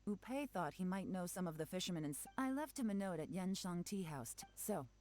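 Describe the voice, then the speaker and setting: An actress as a shrewd female spymaster in a video game. Her voice sits low, and she speaks in a measured, commanding way, composed as she gives orders. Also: animated, a little mellow, close to an everyday voice. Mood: cool, probing.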